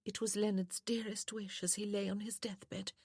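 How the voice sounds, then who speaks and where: A woman voicing a soft, sweet, tearfully reproachful young widow in a period romance audiobook. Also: medium pitch, flat delivery, moderate pace, slightly rough, no echo, studio quality, pitched above the performer's own voice. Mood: tearful pleading.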